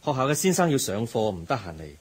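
A measured, polite school counselor speaks in a husky voice with lively pitch. He is calm and firm, a radio drama role. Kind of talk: 1980s radio drama acting.

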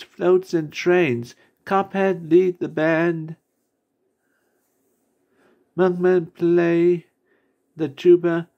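A man reading amateur character voice lines indoors in a halting way, stumbling over words. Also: sits high, a little mellow, lo-fi sound. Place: indoors at a computer desk.

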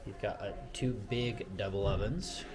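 A man's voice: fairly low pitch, measured pace, over music. An American man in his thirties giving an informal, enthusiastic walk-through tour in casual, slangy language. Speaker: real estate vlogger giving a home tour. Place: walking through a model home and its yard. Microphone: handheld camera at arm's length. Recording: noisy handheld camera with ambient sound.

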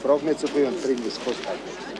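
An actor playing a dogged, sardonic police detective in a radio crime drama, blunt, sceptical and insistent. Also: male voice, mid-range voice, moderate pace, crowd noise, close to an everyday voice. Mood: gruff. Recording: noisy 1970s radio drama recording.

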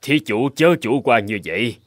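A man voicing a kindly, upright Buddhist monk with a formal, earnest, courteous manner in an animated folk tale. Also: mid-range voice, moderate pace, no echo, clean studio sound, close to an everyday voice. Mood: caring.

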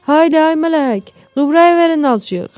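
Storybook peasant father, played by a woman: hearty, blunt, bossy, raised pitch, roomy recording. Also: moderate pace, darkish tone, faint music behind, natural voice. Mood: urgent, calling. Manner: rustic, blunt, calling out.

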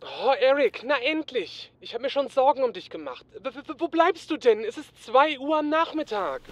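A man's voice: extremely high pitch, lively intonation, moderate pace, muffled recording. A man playing an anxious, fussy detective's assistant in a comedic audio drama, nervous and stammering. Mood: worried.

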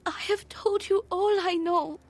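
A woman's voice: extremely high pitch, steady tone, a little slow. A woman playing a frightened peasant wife in a video game, anxious and pleading. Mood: fearful. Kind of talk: video game drama acting. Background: faint game music.

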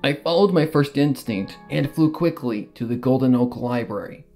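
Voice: medium pitch, expressive, moderate pace, mellow, close to an everyday voice. An actor playing a grieving, bitter cartoon pony mare, telling her tragedy in a dramatic first-person fan fiction reading. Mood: grim. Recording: music under the voice.

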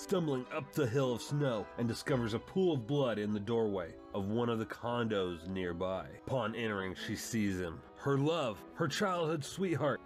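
A man hosting and narrating a comic book story as a dramatic reading. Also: mid-range voice. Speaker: channel host and narrator.

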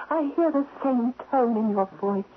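A high sly indian thief queen statue, bossy and coaxing, very swoopy, muffled old radio play. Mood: mocking. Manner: commanding, slyly coaxing, deliberate. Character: seductive, imperious talking statuette, Indian queen of thieves. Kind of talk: 1970s radio drama acting. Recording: muffled vintage radio recording with hiss.

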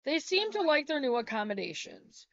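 A woman's voice: high voice, very animated, moderate pace, vocal fry, no echo. A middle-aged woman, a homesteading vlogger, reads a practical how-to narration in a small wood-paneled room, with small self-corrections. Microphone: laptop webcam. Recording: dull, lo-fi webcam sound.

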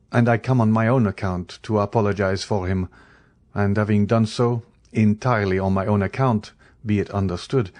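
A man voicing a young gentleman journalist in an audiobook, formal, stiffly courteous and insistent. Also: lowish voice, lightly acted. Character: earnest young gentleman journalist, polite but indignant.

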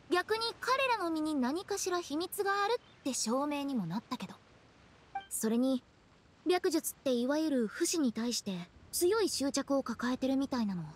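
Anime game casting: young female funeral director, playful and shrewd, high animated voice. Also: slight background noise. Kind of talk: Japanese anime game acting.

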